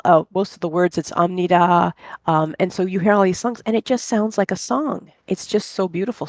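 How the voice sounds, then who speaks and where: A native English speaker chatting casually, telling rambling, animated stories and voicing their own thoughts aloud. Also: medium pitch, gravelly, no echo. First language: English, speaks only one language. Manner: rambling, animated anecdotes with voiced inner thoughts. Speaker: interviewee, online language-club member. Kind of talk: casual conversational storytelling.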